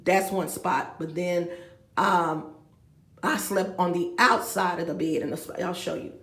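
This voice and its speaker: A woman's voice: fairly low pitch, steady tone, unhurried, fairly bright tone, reverberant. A woman, rambling and talking straight to her viewers with many asides. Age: 50s. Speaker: vlogger. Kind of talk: rambling confessional vlog. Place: room with a bed, indoors.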